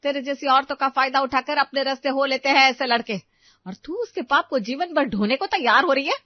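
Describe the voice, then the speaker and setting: A spiteful middle-aged mother-in-law, acted by a woman, rules this melodramatic family story with harsh, commanding taunts. The voice is high and dry, in slightly dull audio. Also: brisk, dry recording, close to an everyday voice. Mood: furious taunting.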